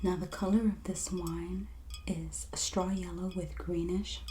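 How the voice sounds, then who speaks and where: ASMR merchant role: woman, dark mellow tone, soft breathy near-whisper, very unhurried, gentle presenting. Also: fairly low pitch, steady tone, a little hiss or hum. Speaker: ASMR host playing a wine and spirits merchant. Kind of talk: soft-spoken ASMR roleplay, product showcase.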